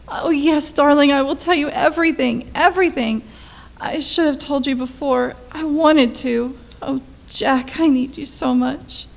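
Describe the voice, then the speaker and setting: Casting: woman as frightened, devoted wife, high voice, pleading melodrama for a vintage radio play. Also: a little slow, vocal fry. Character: anxious, devoted wife in fear for her life. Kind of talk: old-time radio play acting.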